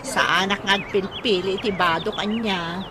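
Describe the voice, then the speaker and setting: A woman playing a vain, fussy mother with a sharp temper and an Ilocano accent in a radio comedy drama. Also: mid-range voice, steady tone, unhurried, natural voice. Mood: haughty chat. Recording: noisy radio drama recording, ambient sounds.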